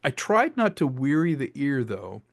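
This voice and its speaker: A middle-aged man, a director talking as a guest in a casual interview, animated and fond of acting out quotes. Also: mid-range voice, moderate pace, vocal fry.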